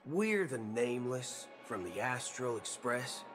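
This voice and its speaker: Game gunslinger, male: brash sly cowboy, unhurried but swinging widely in pitch, music behind. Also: sing-song. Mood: indignant. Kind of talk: video game character voice acting. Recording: background music under dialogue.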